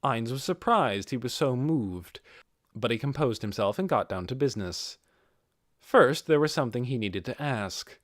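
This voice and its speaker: A man narrates an audiobook with plenty of pitch movement. His voice is mid-range and recorded very dry, in a scripted storytelling style. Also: lively intonation, moderate pace, no echo. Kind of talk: expressive scripted fiction narration.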